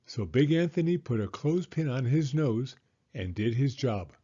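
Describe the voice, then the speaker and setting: A man's voice: medium pitch, expressive, normal speed, dark tone, husky, highs cut off. A man narrating a children's picture book aloud as a storyteller.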